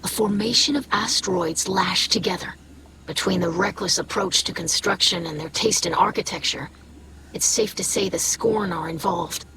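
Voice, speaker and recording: An actress playing an earnest, friendly scholar ally in a video game, articulate in delivery. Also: female voice, fairly low pitch, normal speed, noisy background, natural voice. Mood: grateful, determined. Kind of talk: video game character dialogue.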